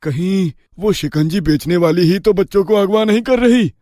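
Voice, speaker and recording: A man voicing an earnest, ordinary village father in an animated story, speaking expressively. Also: sits high, normal speed, dry recording, clean studio sound, close to an everyday voice. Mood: worried.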